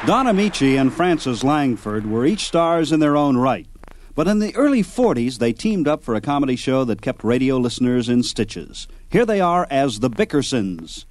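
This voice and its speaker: A man's voice: mid-range voice, big pitch swings, moderate pace. A man hosting a radio program, reading scripted, nostalgic narration as an announcer. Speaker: radio host.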